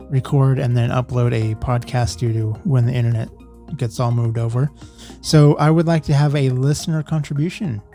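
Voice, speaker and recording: A man hosting a solo podcast, talking casually and reflectively in halting phrases. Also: mid-range voice, dark tone. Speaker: podcast host.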